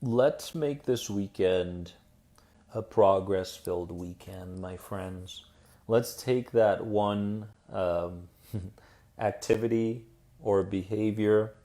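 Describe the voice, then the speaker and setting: A man hosting a live stream as an anxiety coach, upbeat and encouraging as he answers viewer comments, then slow and soothing in a guided visualization. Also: on the low side, dark tone. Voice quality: soothing radio-style voice.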